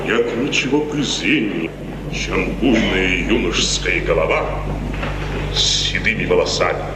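Proud, fiery old colonel, formal classical tragedy, echoing hall, noisy 1950s radio recording. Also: male voice, fairly low pitch. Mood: bitter, brooding. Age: older. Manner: theatrical, brooding, stiffly formal. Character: proud, hot-headed old colonel, wronged father.

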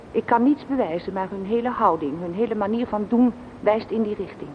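An actress playing a suspicious, bitter wife who voices her letter in a measured, accusing way in a radio play. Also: natural voice. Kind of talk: Dutch radio play monologue. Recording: slightly dull old recording, faint rumble.

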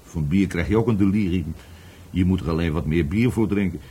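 A man's voice: low-pitched, fairly quick, mellow, slight background noise, lightly acted. An actor in a Dutch radio play playing a drunken, bitter divorced man who rambles, stammers and repeats himself. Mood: agitated, tipsy. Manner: stammering, repetitive, tipsy.

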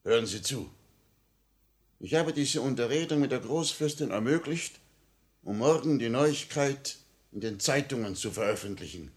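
A man's voice: mid-range voice, expressive, moderate pace, natural voice. A man acting a smugly courteous, manipulative police minister with mocking politeness in a 1950 radio play. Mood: smooth menace. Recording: vintage 1950 radio recording.

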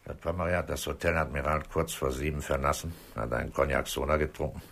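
An actor playing a calm, commanding police inspector with curt, unhurried authority in a 1960s German radio crime drama. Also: male voice, very deep voice, vocal fry, heavily acted. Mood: firm, terse. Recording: hissy old radio play recording.

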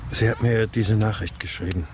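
An actor playing a troubled, subdued husband in a radio crime drama, speaking in a hesitant, reserved, brooding way. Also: male voice, fairly low pitch, moderate pace, lightly acted. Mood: guarded. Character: troubled, subdued husband searching for his missing wife. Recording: clear background noise.

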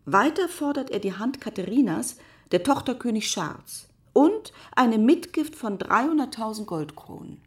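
A woman's voice: fairly high pitch, animated, moderate pace. A woman presenting in a radio drama, giving scripted reports and putting questions to commentators.

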